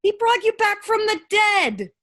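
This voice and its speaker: A woman's voice: extremely high pitch, sing-song, unhurried, a bit bright, full character voice. A woman acting an earnest, persuasive, devoted friend in a staged reading of a comic play. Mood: exasperated, insistent.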